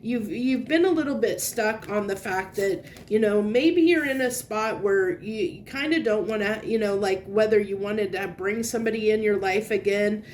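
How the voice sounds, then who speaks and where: A woman's voice: mid-range voice, moderate pace, few pauses, a little hiss or hum. Congested woman giving a rambling tarot reading. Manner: meandering, searching for words, gentle reassurance. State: congested, sniffly. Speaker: tarot reader. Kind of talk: casual tarot reading to camera.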